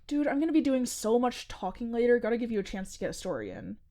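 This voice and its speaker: A woman's voice: on the high side, very animated, fast talker, mellow. A woman playing a chatty, earnest seventeen-year-old boy who hosts a podcast, casual and insistent, in an audio drama. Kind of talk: audio fiction podcast acting, conversational.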